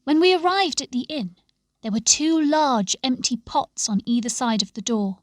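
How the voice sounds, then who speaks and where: Female storybook narrator, fairly high voice, close dry sound, expressive and measured delivery. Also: no room sound. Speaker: story reader.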